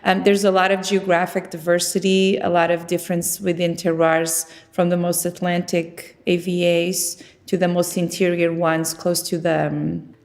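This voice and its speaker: A woman's voice: lowish voice, level tone, moderate pace, vocal fry, hardly any pauses, darkish tone. A middle-aged woman from Portugal, talking conversationally about her work in an interview. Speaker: winemaker being interviewed.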